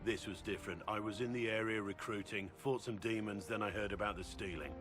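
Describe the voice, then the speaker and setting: A man's voice: on the low side, steady tone, fairly quick, over music, natural voice. An actor playing a blunt, battle-hardened male warrior who commands recruits in a video game. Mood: wary, blunt. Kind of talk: video game dialogue acting.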